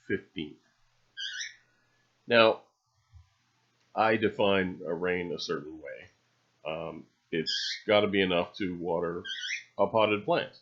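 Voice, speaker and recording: A man narrating an unscripted, rambling voice-over in a halting, musing way, with dry, understated humor. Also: deep voice. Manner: halting, musing delivery with long trailing phrases. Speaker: video narrator. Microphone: separately recorded voice-over. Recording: dull, lo-fi voice recording.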